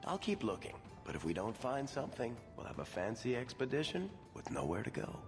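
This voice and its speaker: An actor playing a wry, smooth-talking dwarf merchant in a fantasy game. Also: male voice, mid-range voice, wildly swooping pitch, brisk. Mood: dry. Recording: game audio with music, reverb.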